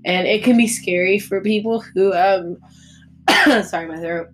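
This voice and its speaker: A woman, co-hosting a faith podcast in casual chat, earnest and halting with many restarts. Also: mid-range voice, soft background music. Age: 20s. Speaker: podcast co-host.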